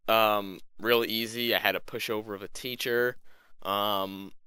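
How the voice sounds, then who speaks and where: Bright-voiced young man, a bit sick. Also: on the low side, a little slow, clear, resonant tone, no room sound. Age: young adult. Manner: slangy, animated griping with acted-out quotes. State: sick, losing voice, coughing. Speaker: gaming video host. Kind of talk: casual storytelling voiceover.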